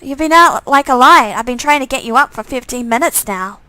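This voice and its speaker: Caring visual novel father, played by a woman, anxious and earnest, forceful bright delivery, dry close sound. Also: sits high, expressive, a little fast, no echo, rough recording. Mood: worried, pressing. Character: worried, caring middle-aged father.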